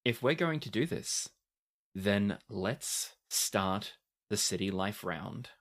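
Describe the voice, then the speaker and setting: A man acting as game master, narrating a tabletop roleplaying game and explaining it deliberately. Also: on the low side, animated, a bit bright.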